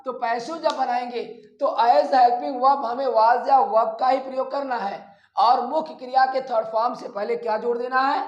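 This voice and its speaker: A man teaching a grammar lesson step by step, repeating phrases deliberately and addressing his students directly. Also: extremely high pitch, lo-fi sound. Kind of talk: classroom lesson, explaining rules step by step. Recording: echoey, roomy sound.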